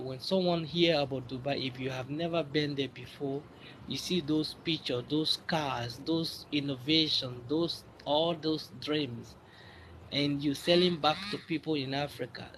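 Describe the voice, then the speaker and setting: A man's voice: on the high side, a bit bright, a little hiss or hum, rough recording. A man from Liberia speaks earnestly on a live stream, hesitating often with fillers. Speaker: guest caller.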